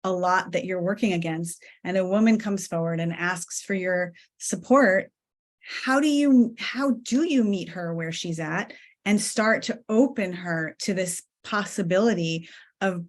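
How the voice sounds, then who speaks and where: Woman host, low voice, affirming. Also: a little slow. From Iran. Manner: warm, affirming, many "like"s. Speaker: podcast host. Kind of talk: conversational interview. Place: home room, indoors. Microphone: video call.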